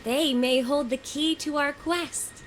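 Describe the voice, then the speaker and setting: A woman playing a gentle, curious young woman in a video game, telling legends in a deliberate, formal storybook manner. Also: sits high, steady tone, a little hiss or hum. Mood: curious, intrigued.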